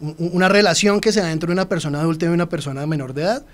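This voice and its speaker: Un hombre colombiano con acento colombiano, invitado experto en una entrevista de radio, que explica con calma y se dirige directamente a los oyentes. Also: male voice, a little high, very fast. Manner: explanatory, addresses listeners directly. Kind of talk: radio interview.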